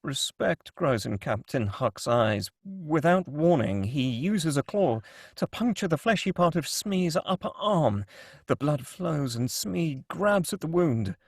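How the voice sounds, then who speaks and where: A man with a fairly high, creaky voice narrates a screenplay. He reads scene headings and action as scripted narration, in a dry, close sound. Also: big pitch swings, slow pace, no echo. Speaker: narrator reading screenplay directions. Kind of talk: scripted narration at a table read.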